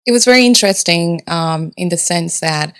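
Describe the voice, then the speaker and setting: A woman's voice: fairly low pitch, moderate pace, smooth. A woman in her thirties from Sri Lanka whose first language is Japanese, chatting as a podcast guest and laughing often. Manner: laughs often, relaxed storytelling. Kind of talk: casual podcast chat.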